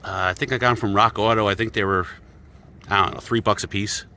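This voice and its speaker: A man's voice: fairly low pitch, fairly even intonation, fast talker, pushed and bright, a little hiss or hum. A man hosting a casual do-it-yourself car repair vlog, talking in a rambling, self-deprecating way with a stuffy nose. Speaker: DIY video host.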